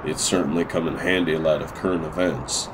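A weary, older male farmer played by an actor speaks in a very deep voice. He is measured and plain-spoken, in an amateur comic dub with clear noise behind him. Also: fairly even intonation. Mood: wistful. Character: weary older widowed farmer, former veterinarian.

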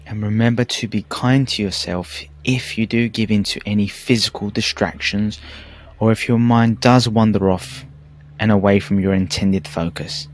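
A man narrating a guided meditation in a calm, measured, soothing way with pauses. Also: fairly low pitch. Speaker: meditation teacher. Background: background music.

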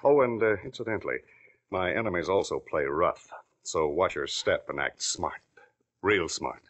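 A man's voice: deep voice, moderate pace, a bit bright. An actor playing an overbearing, shady American businessman of about forty, curt and domineering, in an old radio crime drama. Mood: warning. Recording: dull vintage radio recording.

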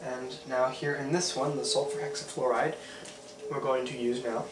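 A man's voice: medium pitch, little pitch movement, moderate pace. A young man hosting a home science demonstration, earnest and explaining things step by step, often restarting his sentences. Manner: earnest, with frequent restarts and throat clearing. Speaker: video host. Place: small room at home. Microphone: camera mic from a few feet away. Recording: roomy echo from a far camera mic, hiss.

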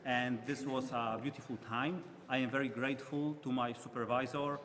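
A young man with a Polish accent, speaking English haltingly in a casual chat in a large parish hall. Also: mid-range voice, fairly even intonation, fairly bright tone. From Poland. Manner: halting, searching for English words.

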